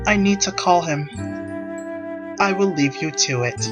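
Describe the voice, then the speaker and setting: A man's voice: high voice, a little slow, loud music behind the voice, pitched above the performer's own voice. A man voicing a flustered, defensive teenage tsundere girl in a visual novel reading, indignant and emotional.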